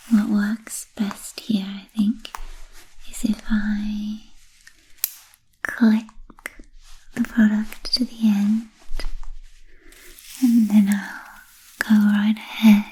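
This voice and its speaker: An ancient, genteel vampire lady played by a woman whispers in a breathy, husky hush for an ASMR roleplay. She is fussy and politely absent-minded, drawing out formal phrases very slowly with hesitant gaps. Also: mid-range voice, little pitch movement, full character voice, poor audio. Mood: gracious, musing. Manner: halting, hesitant, formal old-fashioned phrasing.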